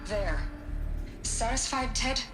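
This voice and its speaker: A woman playing a firm, burdened, protective scientist with deliberate delivery in a video game. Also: a little high, animated. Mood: firm, cutting. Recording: roomy echo with music behind.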